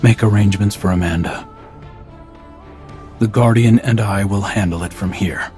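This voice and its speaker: An actor playing a grim commander heavy with grief and set on vengeance in a video game, terse and stern. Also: male voice, fairly low pitch, normal speed, darkish tone, faint music behind, lightly acted.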